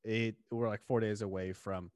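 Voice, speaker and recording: A man's voice: lowish voice, fairly even intonation, normal speed, a little mellow, no room sound. Young guy podcast host, rambly with lots of ums, dry close sound. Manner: rambling, filler-heavy, laughs often. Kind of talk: casual chat.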